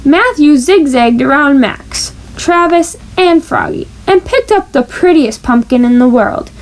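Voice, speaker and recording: A woman reads a picture book aloud to children in a high, lively voice. Her delivery is slow and swings widely in pitch. She plays up every sound-effect word. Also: rough recording. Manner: playful, stressing sound-effect words. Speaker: storybook reader. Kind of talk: animated children's storybook read-aloud.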